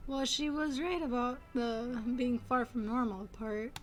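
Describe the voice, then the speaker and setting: Female gamer, twenties: high, soft-spoken, unhurried, casual commentary. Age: 20s. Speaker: video game player. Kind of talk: casual gameplay reaction commentary.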